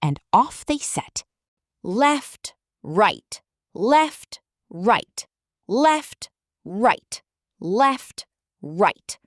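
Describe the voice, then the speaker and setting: A woman reading a children's story aloud, expressive and sing-song. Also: medium pitch, very slow, pauses often, dry recording, clean studio sound.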